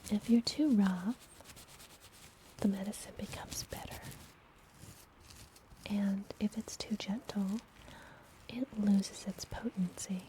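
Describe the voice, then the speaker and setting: A woman playing a friendly apothecary witch in a fantasy ASMR roleplay, speaking gently in a breathy, soothing whisper. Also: mid-range voice, fairly even intonation, slight background noise, lightly acted, poor audio. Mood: calm, instructive. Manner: slow, gentle, soothing delivery. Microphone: close ASMR microphone.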